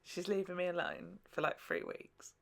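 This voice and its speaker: A man's voice: sits high, wildly swooping pitch, normal speed, gravelly, a bit bright. A young British man hosting a reaction video in casual chat, with a slangy, playful, emphatic way of talking. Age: young adult. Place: small furnished room at home, indoors. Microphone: large desktop microphone between two speakers.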